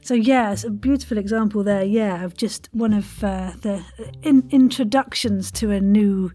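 A woman with a warm, mellow, mid-pitched voice hosts a casual interview. She is effusive and encouraging, with playful slang and loose phrasing. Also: normal speed. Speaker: podcast host, interviewer.

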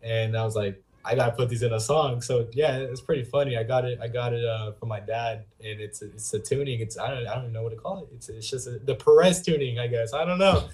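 Male musician guest, average pitch, loose informal chat, rambling storytelling. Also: fast talker, few pauses, darkish tone. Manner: informal, rambling, quotes himself and others in stories. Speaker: guest singer-songwriter and guitarist. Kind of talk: casual interview chat, anecdotes.

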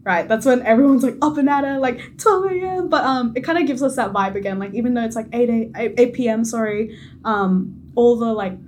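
A woman's voice: on the high side, brisk, a little mellow, slight background noise. A young woman with an Australian accent, a podcast guest who giggles, apologizes and uses lots of fillers. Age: young adult. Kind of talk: casual interview chat.

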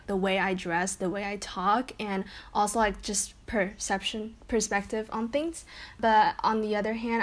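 A teenage girl from China whose first language is Chinese, co-hosting a podcast in a measured, thoughtful way. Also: mid-range voice. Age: teens. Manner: measured, thoughtful, affirming "mm-hmm". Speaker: podcast co-host. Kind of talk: casual chat.

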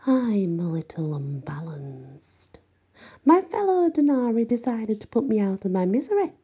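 A woman reading an audiobook, voicing a smug, unbalanced ancient male mummy with slow theatrical delivery. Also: medium pitch, sing-song, mellow, slightly rough, voice pushed up, exaggerated voice. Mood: unhinged, teasing. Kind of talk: audiobook character voice.

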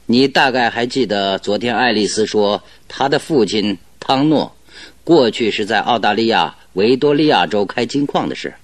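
An actor playing a confident, cheerful detective in a radio drama, explaining things in an animated, self-assured, didactic way. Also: male voice, on the low side, moderate pace, dry recording, close to an everyday voice. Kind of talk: Chinese radio drama acting.

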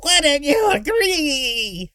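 A man voicing a crazed villain mage in a fantasy audiobook, shrill and whining, with grandiose archaic pronouncements. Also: sing-song, very slow, sharp tone, no room sound, clean studio sound, exaggerated voice. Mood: frenzied, screeching.

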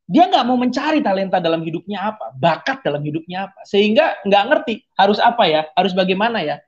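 Young guy preaching on a podcast, animated, keeps asking ya kan, kinda muffled lo-fi audio. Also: sits high. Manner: preachy, animated, punctuates with rhetorical tags. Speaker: podcast guest giving testimony. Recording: dull lo-fi sound.

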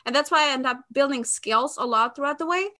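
High, bright Albanian-accented young woman. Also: fast talker. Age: around 30. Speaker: podcast guest, sales expert. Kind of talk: conversational interview answers.

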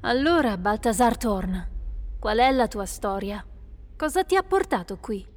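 A woman playing a calm, firm adventurer. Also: on the high side, measured pace. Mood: curious. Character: steady female adventurer, calm peacemaker. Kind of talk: Italian audio drama acting.

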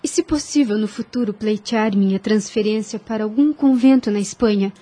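An actress playing a devout, gentle young woman about to become a nun in a radio drama, speaking softly and earnestly. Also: mid-range voice, normal speed, natural voice.

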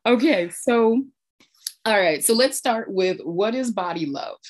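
A woman's voice: medium pitch, lively intonation, a little slow. A woman in her thirties hosting a podcast, reading a welcome and then chatting with enthusiasm. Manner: upbeat, scripted welcome then enthusiastic chat. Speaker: podcast host. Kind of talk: podcast introduction and interview.

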